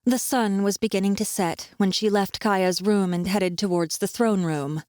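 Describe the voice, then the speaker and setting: A woman's voice: mid-range voice, steady tone, normal speed, a little mellow, no room sound. Woman narrating fantasy, very dry sound. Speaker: audiobook narrator. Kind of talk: scripted fantasy story narration.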